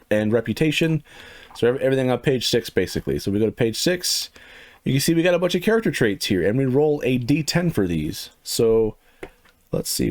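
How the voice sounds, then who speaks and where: A man's voice: mid-range voice, a little mellow. Rambling middle-aged man reviewing a game. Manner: rambling, lots of fillers, laughs to himself. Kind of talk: casual first-impressions walkthrough.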